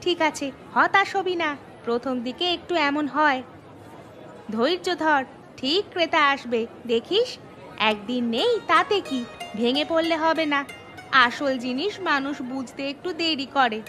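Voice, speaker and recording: High-voiced caring village mother. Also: animated, measured pace, bright, forceful tone, faint music behind, a faint crowd, heavily acted. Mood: encouraging. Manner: reassuring, informal. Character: caring, encouraging village mother, grateful and steady. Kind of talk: cartoon voice acting.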